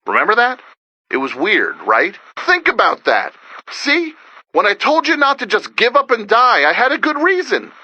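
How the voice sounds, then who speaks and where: Casting: game's survivor radio DJ, high-pitched, forceful, earnest with wry edge, urgent conversational delivery. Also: male voice, huge pitch swings, moderate pace, dry recording, lo-fi sound, heavily acted. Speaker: radio broadcaster character. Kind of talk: video game voice acting, radio broadcast monologue.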